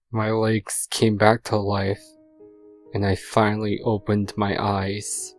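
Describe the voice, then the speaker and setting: Man voicing a tormented pony stallion, low and slow, remorseful confession, dark audio story, soft music behind. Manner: sombre, remorseful, measured delivery. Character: guilt-ridden stallion confessing his killings in prayer. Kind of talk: dramatic audio fan fiction reading.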